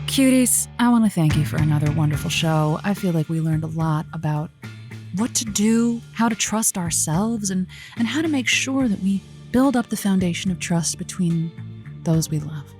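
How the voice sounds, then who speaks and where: A woman's voice: lowish voice, animated, moderate pace, over music. A woman playing an earnest, encouraging radio advice host in a comedic audio drama, speaking close to a studio microphone. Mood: signing off.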